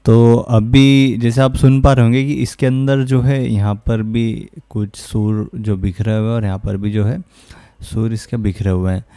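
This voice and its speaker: Clear, smooth man explaining software. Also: mid-range voice, little pitch movement, measured pace, no room sound. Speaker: music production tutorial host. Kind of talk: informal screen-recorded software tutorial. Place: close, dry indoor voice-over.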